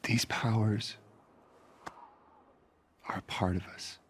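An actor playing a weary, gentle middle-aged scientist hero in a video game, with a halting, low-key way of talking. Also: male voice, fairly even intonation, a little hiss or hum. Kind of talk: video game cutscene acting.

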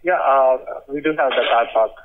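A man's voice: medium pitch, normal speed. A man answering as a pizza shop employee, taking an order over the telephone. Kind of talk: customer service phone talk. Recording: tinny narrow phone line.

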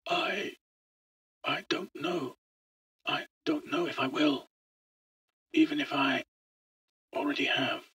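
A man playing an eager, bewildered middle-aged visitor from the future, speaking in a hushed, halting way in a story. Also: on the high side, slightly rough, dry recording, distant mic, pitched above the performer's own voice, full character voice. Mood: uncertain. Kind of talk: audio short story dialogue.

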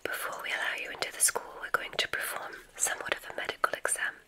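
A woman whispering in a breathy, gentle way, playing a gracious witch dean in an ASMR roleplay. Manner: gentle, drawn-out, effusively complimentary. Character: gracious, welcoming witch dean of a magic school.

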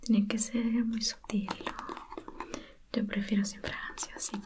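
A woman speaking in a breathy whisper, gently soothing the listener as a spa beautician in an ASMR roleplay. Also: mid-range voice, little pitch movement, a little slow. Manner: gentle, soothing, intimate, reassuring. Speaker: ASMR video creator playing a spa beautician.

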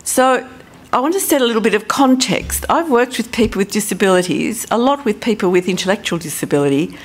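A woman with an Australian accent giving a conference presentation as a professor, relaxed and self-deprecating, thinking aloud with fillers. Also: mid-range voice, fairly quick.

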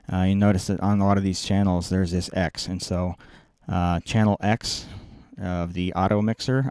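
Low-pitched middle-aged man explaining tech. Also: a little mellow, no echo. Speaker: video production engineer giving a tutorial. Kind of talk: informal technical walkthrough. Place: small indoor production control room. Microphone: close boom-mounted microphone.

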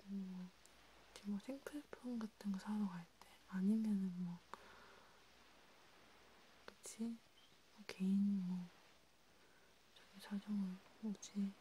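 A woman whispering softly in a casual, hesitant, gently caring chat for an ASMR roleplay. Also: medium pitch, steady tone, slow, long pauses, slight background noise, poor audio. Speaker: ASMR video creator.

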